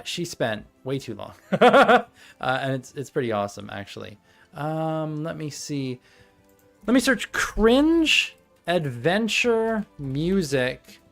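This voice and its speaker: A young man chats casually on a live stream from his home setup, drawling slowly and thinking aloud as he fiddles with settings. His voice is low and gravelly. Faint background music plays behind him. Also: a bit bright. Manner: rambling, self-talking while fiddling with setup.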